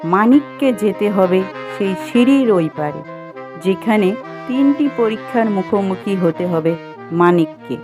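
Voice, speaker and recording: A woman's voice: medium pitch, expressive, a little slow. A woman reading scripted fairy-tale narration as the storyteller of a cartoon. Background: music.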